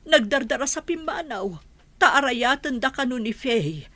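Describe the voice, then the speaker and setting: A woman's voice: sits high, expressive, a little slow, fairly bright tone, dry recording, full character voice. A woman playing an anxious, hesitant married mother in a comedy radio drama. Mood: worried.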